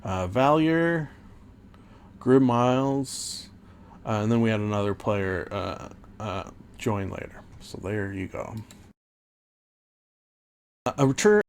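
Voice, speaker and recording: A man's voice: on the low side, slow, pauses often, a little hiss or hum. Rambling man recapping a tabletop game. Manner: rambling, many fillers and self-corrections. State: losing his voice. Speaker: podcast host and game master. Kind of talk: casual recap of a tabletop campaign.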